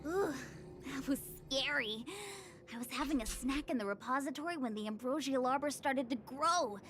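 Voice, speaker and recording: High-pitched, carefree young female slacker voiced by an actress. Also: normal speed, lightly acted. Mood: breezy, unbothered. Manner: breezy, casual. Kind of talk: scripted game dialogue. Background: game music.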